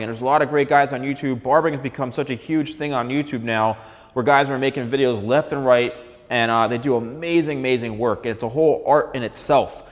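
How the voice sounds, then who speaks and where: Chatty hairstylist man. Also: on the high side. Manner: conversational, gestures a lot, frequent "all right". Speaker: hairstylist and channel host. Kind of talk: casual explainer to camera. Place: large studio room with piano, indoors. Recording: long reverb in a bare room.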